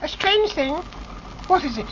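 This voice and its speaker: A woman in a vintage radio play voicing Johnny, an eager, brave young boy who chatters excitedly. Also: high voice, unhurried, exaggerated voice. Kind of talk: 1940s radio acting. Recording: dull noisy old radio recording.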